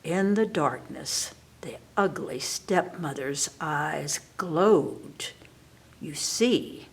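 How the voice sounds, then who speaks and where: A woman librarian reading a fairy tale aloud at storytime. Her voice sits low and her delivery is slow and unhurried. She reads gently and at times drops to a soft whisper. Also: lively intonation. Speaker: children's librarian.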